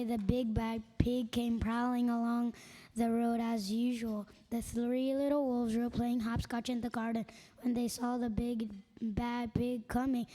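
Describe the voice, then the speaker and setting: A woman narrating a children's story aloud as part of reader's theatre, reading deliberately with some stumbles. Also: on the high side, dark tone, rough recording.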